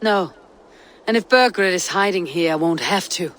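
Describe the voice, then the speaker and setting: A woman's voice: lowish voice, unhurried, fairly bright tone. An actress playing a fearless Viking warrior woman in a video game, speaking in a curt, commanding way. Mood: determined. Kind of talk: video game cutscene acting.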